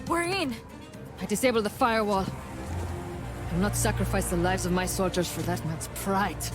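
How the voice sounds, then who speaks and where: A woman's voice: mid-range voice, moderate pace, music in the background, natural voice. A woman playing a resolute, stern army commander in a video game, speaking with urgent authority. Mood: urgent, commanding. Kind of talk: cinematic video game acting.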